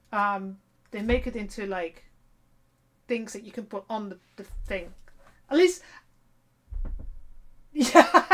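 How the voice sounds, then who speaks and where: A woman in her forties chatting casually on a live stream while sewing, rambling and laughing at herself. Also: fairly high pitch. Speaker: cosplay streamer.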